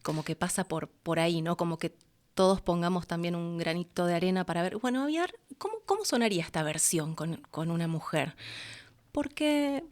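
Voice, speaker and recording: A woman's voice: lowish voice, lively intonation, a little fast, a little mellow. A woman with an Argentine accent talking expressively and colloquially as an interview guest. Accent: Argentine Spanish. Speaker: guest, voice actress and announcer. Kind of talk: conversational interview.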